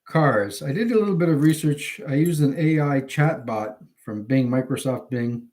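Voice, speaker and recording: A man in his fifties from Canada, giving a casual, unscripted product demonstration in a halting, rambling way. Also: medium pitch, a little mellow. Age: 50s. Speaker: hobbyist video host. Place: indoors at home. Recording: home recording with faint background noise.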